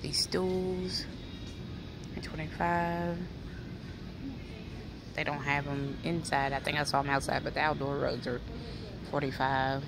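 A woman's voice: low voice, level tone, a little slow, pauses often. A woman vlogging as she walks through a large store, reading out sale prices in a chatty, practical way on her phone camera. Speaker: shopping vlogger. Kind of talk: walk-through store haul narration. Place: large indoor retail store. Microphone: handheld phone camera. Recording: store ambience noise behind. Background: store ambience.

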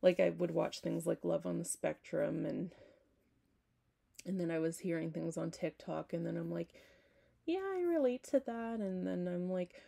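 A young woman talking casually to her camera at home, hoarse, rambling with lots of "like" and self-corrections. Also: fairly low pitch, normal speed, mellow. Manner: rambling, self-interrupting, frequent "like". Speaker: vlogger. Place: small home room, indoors.

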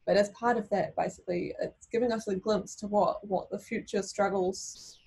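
A woman's voice: medium pitch, steady tone, moderate pace, gravelly, mellow, slightly rough. A woman hosting an online event, introducing the speakers with thanks and a few ums. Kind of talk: formal introduction.

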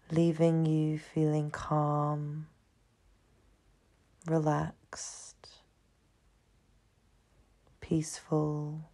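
Slow, low-voiced woman guiding meditation. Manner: soothing, hushed, unhurried with long pauses. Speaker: meditation guide. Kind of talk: guided meditation, slow scripted narration.

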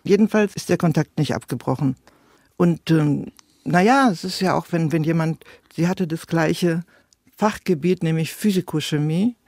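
A man's voice: a little high, normal speed, darkish tone, dry recording, clean studio sound. A man giving spontaneous expert remarks in an interview, searching for his words.

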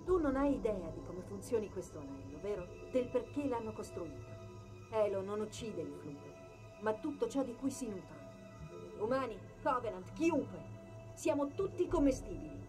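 A woman's voice: medium pitch, big pitch swings, normal speed, lightly acted. A woman voicing a fiery, sarcastic female artificial intelligence in a video game dub, biting and emphatic. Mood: urgent, grave. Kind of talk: dubbed video game cutscene acting. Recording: loud music under the voice.

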